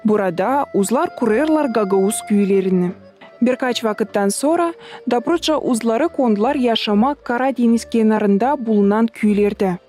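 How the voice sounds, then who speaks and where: A kindly cartoon teacher voiced by a woman, with a soft-toned, slightly high voice. She explains the lesson with composure while music plays underneath. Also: normal speed, close to an everyday voice. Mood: instructive.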